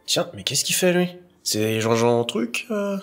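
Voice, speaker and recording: A man's voice: mid-range voice, sing-song, a little slow, loud music behind the voice. A man playing an easygoing, slightly puzzled start-up employee in a comic audio drama.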